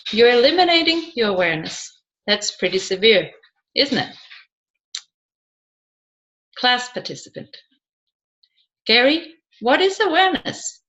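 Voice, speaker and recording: A woman reading a scripted audiobook in a measured, deliberate way, phrase by phrase. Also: a little high, sing-song, fairly bright tone, dull audio. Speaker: audiobook narrator.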